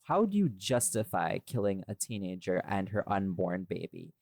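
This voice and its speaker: A man's voice: sits low, sing-song, normal speed, gravelly, darkish tone, dry recording, studio quality. A man in a casual podcast chat, talking in a rambling, irreverent way and swearing freely as he explains himself. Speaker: podcast host and voice actor.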